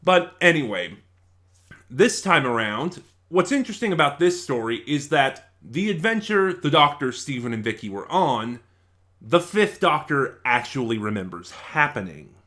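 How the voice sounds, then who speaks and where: A man's voice: mid-range voice, big pitch swings, moderate pace, pushed and bright. A man reviewing in a casual, unscripted way, rambling and often losing his thread and correcting himself. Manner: rambling, scatterbrained, self-correcting.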